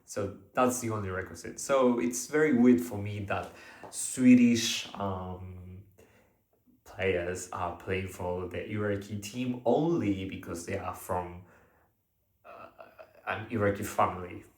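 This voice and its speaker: Slow, hesitant man in an echoey room. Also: lowish voice. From Argentina. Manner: hesitant, many fillers and restarts, unscripted. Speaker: vlogger. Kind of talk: casual monologue to camera.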